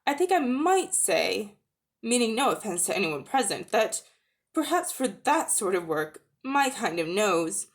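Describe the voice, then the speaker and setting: A woman's voice: medium pitch, sing-song, unhurried. A woman voicing a self-important elephant with polite, circumspect phrasing. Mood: polite, pompous.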